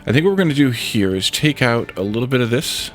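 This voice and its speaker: A man's voice: medium pitch, lively intonation, fast talker, a bit bright, faint music behind. A man with a congested head cold, casually thinking aloud as he streams a building game. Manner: thinking aloud, muttering to himself while building. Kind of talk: casual let's-play commentary.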